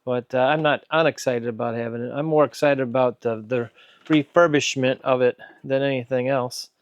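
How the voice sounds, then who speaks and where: A man's voice: mid-range voice, fairly even intonation, moderate pace, clear, resonant tone, no echo, lo-fi sound. A man in his sixties giving a casual, unscripted show-and-tell as a hobbyist, rambling and musing aloud. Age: 60s. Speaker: host, hobbyist radio restorer. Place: indoor electronics workbench.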